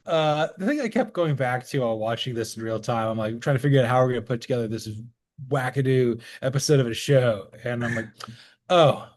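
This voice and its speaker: A man co-hosting a sports podcast in casual, jokey banter, rambling along and halting as he goes. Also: medium pitch. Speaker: podcast co-host.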